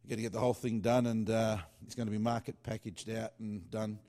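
A man with a fairly low voice talks about his music in a relaxed, unscripted way. He rambles, trails off and starts over, filling gaps with "uh". It sounds like a worship singer-songwriter chatting to listeners. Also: a little fast. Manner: loose and chatty, with many fillers and restarts. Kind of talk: casual rambling talk.

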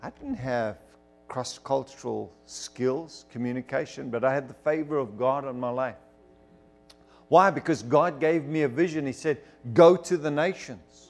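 A man's voice: medium pitch, a little slow, soft background music. A middle-aged man from Zimbabwe, preaching a sermon as emphatic storytelling with bursts of fervor. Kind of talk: sermon testimony to a congregation.

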